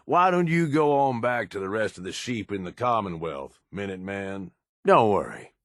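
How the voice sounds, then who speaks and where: An actor playing a gruff, scheming male raider lieutenant in a video game, with a crude, sardonic tough-guy drawl. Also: fairly low pitch, animated, normal speed, dry recording, clean studio sound, natural voice. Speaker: companion character. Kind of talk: video game combat and companion barks.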